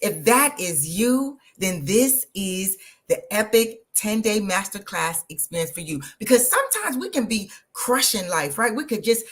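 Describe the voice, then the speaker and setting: A woman, hosting an energetic, motivational live stream and talking straight to her viewers. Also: medium pitch, a little slow. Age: 50s. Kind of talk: live social media stream.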